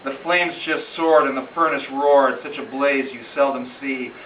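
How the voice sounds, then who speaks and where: A man's voice: medium pitch, steady tone, normal speed. A man recites a narrative poem in a dramatic, storytelling way. His phrasing is rhythmic and emphatic, like an amateur reading aloud at home. The sound is roomy and a little dull, picked up from a distance over faint noise. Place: room in a home, at a gathering.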